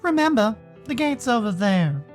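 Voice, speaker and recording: A man voicing an aloof, terse male furry animal in a visual novel, with slow, clipped replies and a faint jeer. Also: high-pitched, big pitch swings, faint music behind, pitched above the performer's own voice.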